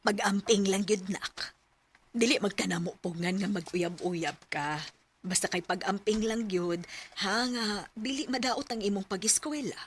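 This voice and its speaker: Woman acting gentle mother, faint background noise. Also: mid-range voice, measured pace, lightly acted. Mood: gentle, cautioning. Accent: Filipino, Cebuano Visayan. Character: gentle, trusting mother giving careful advice. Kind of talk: radio drama acting.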